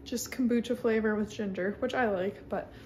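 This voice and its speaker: A woman's voice: mid-range voice, steady tone, normal speed, a little mellow. A woman, chatting informally to camera like a student vlogger. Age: 20s. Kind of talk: casual chat to camera, daily vlog. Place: parked car and home kitchen. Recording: background noise in car and kitchen.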